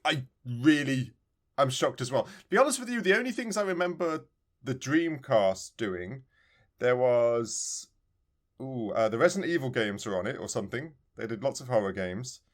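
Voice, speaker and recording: A man's voice: medium pitch, animated, moderate pace. A man co-hosting a podcast, chatting casually about retro video games in a rambling, emphatically repetitive way. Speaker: podcast co-host.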